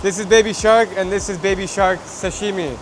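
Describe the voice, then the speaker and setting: A man's voice: high-pitched, steady tone, a little fast, music in the background, low-quality recording. An American man in his thirties, hosting a travel vlog outdoors with a handheld camera, talking in an enthusiastic, upbeat way. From United States. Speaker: travel vlogger host. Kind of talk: casual vlog narration. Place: outdoors in old town streets.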